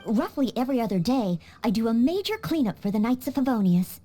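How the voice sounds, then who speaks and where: A high, lively voice for an earnest young maid set on becoming a knight. She speaks politely and eagerly, now and then stumbling on her words out of shyness. Soft game music plays quietly behind her. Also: quick pace. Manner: polite, earnest, hesitant with small stammers. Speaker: game character. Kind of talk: game cutscene voice acting.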